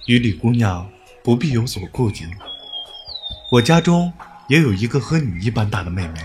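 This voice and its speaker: A courteous young scholar from old times played by a man, with a lively, wide-moving intonation. He speaks formally and bookishly with gentle amusement, in a period audio drama with music and birdsong softly behind. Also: medium pitch, moderate pace, close to an everyday voice. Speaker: voice actor.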